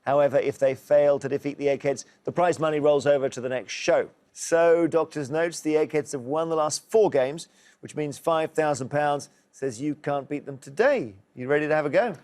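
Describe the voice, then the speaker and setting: A man's voice: on the high side, darkish tone. Upbeat male quiz show host. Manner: brisk, jokey, reads questions clearly. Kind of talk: TV quiz hosting.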